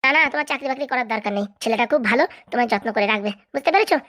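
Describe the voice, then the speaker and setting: A woman plays a middle-aged cartoon mother. She talks quickly in a raised pitch, firm and coaxing, nagging until she is understood. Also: sharp tone. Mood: firm, warning. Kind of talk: Bengali comedy cartoon dub.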